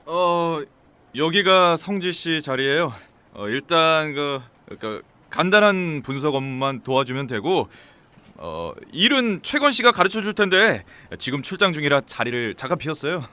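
A man's voice: a little high, very animated, normal speed, pushed and bright, clean tone. An actor in an audio drama playing a jittery, flustered male office worker who stammers and is eager to please. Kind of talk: Korean audio drama acting.

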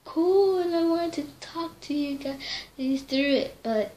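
A young teen girl talks to her camera in a small bedroom at night, rambling from one thing to the next and fixing her own words mid-sentence. Her voice sits a little high and she takes her time. A faint hiss lies under the close device recording. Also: lively intonation, slow pace, warm tone. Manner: rambling, chatty, self-correcting. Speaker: vlogger. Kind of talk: casual chat to camera. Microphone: device camera held close.

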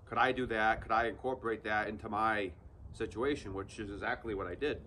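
A man's voice: lowish voice, fairly even intonation, a little fast, pushed and bright, a little hiss or hum. A man in his thirties, a channel host, talking viewers through a practical build outdoors in a casual, direct way. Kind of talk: casual how-to vlog talk. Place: outdoors under an open sawmill shed in woods.

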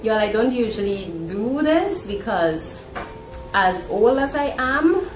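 A woman's voice: fairly high pitch, lively intonation, takes it slowly. Chatty Trinidadian woman in a roomy space. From Trinidad, born in Guyana. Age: young adult. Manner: chatty, informal, conversational. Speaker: vlogger. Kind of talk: casual talking-to-camera vlog. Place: indoor room at home. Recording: roomy echo, music behind. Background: background music.

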